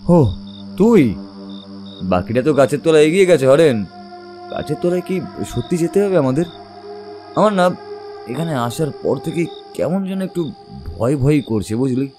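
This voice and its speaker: A man playing an older village uncle who tells a suspenseful horror tale in an expressive, dramatized way. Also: a little high, moderate pace, long pauses, faint music behind. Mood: uneasy. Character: older village uncle recounting his eerie boyhood adventure.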